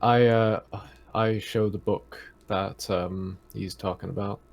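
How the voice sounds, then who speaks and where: A man chatting casually and haltingly as a tabletop game player. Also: on the low side, fairly even intonation, gravelly, a little mellow. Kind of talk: casual chat.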